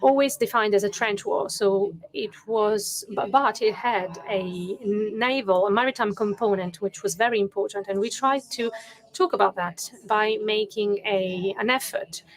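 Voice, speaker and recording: A woman's voice: medium pitch, a little slow, low-quality recording. Woman moderating, hesitant. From Italy. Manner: hesitant, many fillers. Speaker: conference moderator. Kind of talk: online conference moderation.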